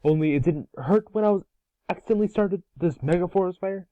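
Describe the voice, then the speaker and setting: A man voicing a cocky, excitable boy hero with casual kid slang in a cartoon table read. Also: high voice, brisk, no echo, highs cut off, close to an everyday voice. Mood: explaining. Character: cocky, excitable boy turned fire alien. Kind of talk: animated series table read.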